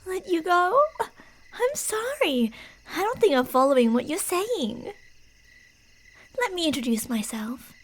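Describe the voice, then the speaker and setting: A woman playing a creepy, delusional stalker posing as a park ranger in an ASMR roleplay, teasing and falsely sweet, slipping between soft speech and whispers. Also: extremely high pitch, very animated, takes it slowly, long pauses, full character voice. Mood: falsely sweet, menacing.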